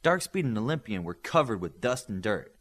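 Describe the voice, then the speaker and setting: Man narrating a novel, lively pitch. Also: fairly quick. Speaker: audiobook narrator. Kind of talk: scripted narration of a novel, acting out the dialogue.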